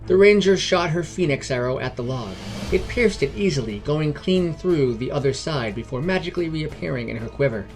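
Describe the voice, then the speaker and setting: A man's voice: on the high side, animated, fairly quick, hardly any pauses. A man hosting and narrating a scripted fantasy adventure podcast. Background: background music.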